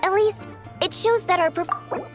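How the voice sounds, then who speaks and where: A woman playing a proud, easily offended theater singer in scripted video game dialogue, with an expressive, theatrical, pointed delivery. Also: very high-pitched, unhurried, soft background music. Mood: wounded restraint.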